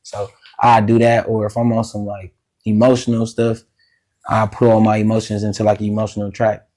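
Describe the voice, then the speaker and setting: Raspy-voiced male guest, loose and slangy, easy podcast chatter. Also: lowish voice, completely flat delivery, fairly quick, warm tone, rough recording. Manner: laid-back, slangy, laughs often. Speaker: musician guest. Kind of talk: casual podcast interview.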